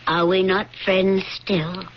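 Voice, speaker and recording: A woman's voice: mid-range voice, flat delivery, slow, natural voice. An actress playing a passionate young noblewoman of ancient Pompeii in a radio drama, earnest and pleading in formal diction. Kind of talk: classic radio drama acting. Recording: dull, noisy old radio broadcast.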